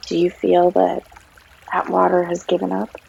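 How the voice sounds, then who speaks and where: A woman leading a guided meditation as a yoga guide, speaking gently with long pauses, in a breathy, creaky voice. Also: fairly low pitch, completely flat delivery. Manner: gentle, unhurried, long pauses between phrases. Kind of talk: guided meditation, soothing narration. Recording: slightly dull sound, trickling stream water behind. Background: flowing stream water.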